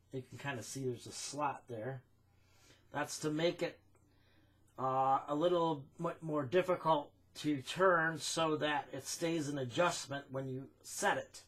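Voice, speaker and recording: A man with an American accent giving an informal technical explanation as a demonstrator, in a deliberate, halting way. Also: mid-range voice. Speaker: phonograph recording demonstrator.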